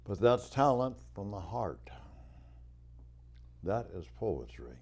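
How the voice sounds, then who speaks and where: Deep, slow-talking male host. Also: very animated, darkish tone. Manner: admiring and encouraging toward the guest. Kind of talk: interview chat.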